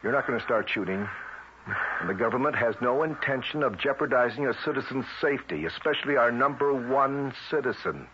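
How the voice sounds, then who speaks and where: An actor playing a streetwise, grimly vengeful federal agent in 1970s radio drama, with tough, clipped, colloquial delivery. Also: male voice, mid-range voice, brisk, lightly acted. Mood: firm, persuasive. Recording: muffled vintage radio recording, faint hiss.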